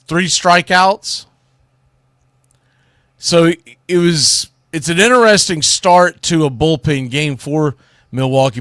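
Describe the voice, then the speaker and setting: A man's voice: mid-range voice, a bit bright, no echo, studio quality. A man hosting a solo sports talk show, giving commentary in an informal, opinionated way.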